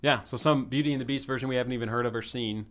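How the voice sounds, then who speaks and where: A man's voice: mid-range voice, steady tone, very fast. A man hosting a casual movie review chat, talking in a rambling way and swearing freely. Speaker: podcast host.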